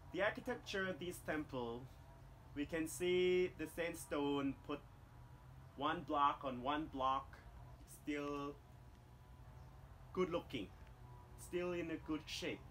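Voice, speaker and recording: Cambodian guy giving a temple tour in simple english, talks slow, bright tone, a little outdoor noise behind. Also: a little high, poor audio. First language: Khmer. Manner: slow, careful non-native English with simple grammar. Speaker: tour guide. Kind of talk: guided tour explanation to camera. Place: outdoors among jungle temple ruins.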